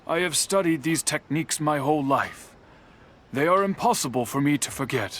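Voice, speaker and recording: An actor playing an older master craftsman who is stern but kindly, with a formal, measured way of talking in a video game. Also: on the high side, lively intonation, fairly bright tone, a little hiss or hum. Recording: game audio with music behind.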